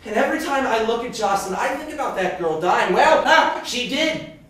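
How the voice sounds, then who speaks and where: A man's voice: medium pitch, big pitch swings, moderate pace, natural voice. Man acting defensive young ghost, distant and echoing. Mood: bitter, wistful. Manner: casual, defensive, sardonic. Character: defensive, lovelorn male ghost who died young decades ago. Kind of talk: theatrical drama acting. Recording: distant, very echoey room, faint noise.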